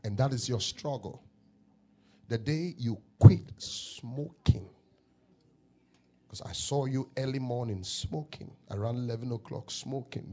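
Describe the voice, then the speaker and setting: A Ghanaian-accented man preaching as a prophet in a church, his voice sitting at an ordinary middle pitch. He takes his time, stretching his declarations out unhurriedly before they rise into loud shouts. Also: husky. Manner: slow drawn-out declarations that swell into loud shouts. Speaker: pastor, self-styled prophet. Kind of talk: prophetic preaching and praying over people. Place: church service.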